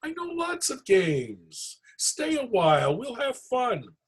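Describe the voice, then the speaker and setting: A man voicing a wily, pleading trickster in a storybook, in an animated manner. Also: very high voice, takes it slowly, breathy edge, pitched above the performer's own voice, heavily acted. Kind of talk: storybook read-aloud character.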